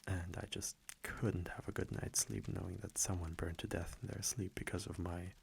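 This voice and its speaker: A tender immortal vampire boyfriend , played by a man, murmurs in a very deep, creaky voice. He takes his time, soft, breathy and affectionate with a gentle tease, as in a close ASMR roleplay. A faint noise sits behind him. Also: level tone, moderate pace. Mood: playful. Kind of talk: intimate ASMR audio roleplay. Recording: faint background noise, crackling fireplace ambience.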